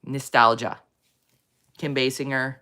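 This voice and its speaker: A woman hosting a casual chat, rambling with many fillers, in a low morning voice. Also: little pitch movement, slow, pushed and bright. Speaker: host. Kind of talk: casual chat reading viewer comments.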